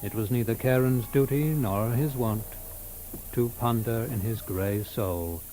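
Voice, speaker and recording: A man narrating a scripted literary tale in a measured, solemn manner. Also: mid-range voice, dark tone, slight background noise.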